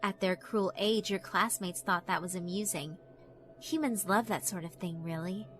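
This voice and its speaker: A woman's voice: on the low side, normal speed, a bit bright, slight background noise, natural voice. A woman voicing a sinister, cruelly amused female school spirit in a horror visual novel, theatrical and mock-polite. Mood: cold, mocking. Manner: theatrical, mock-polite, taunting rhetorical questions.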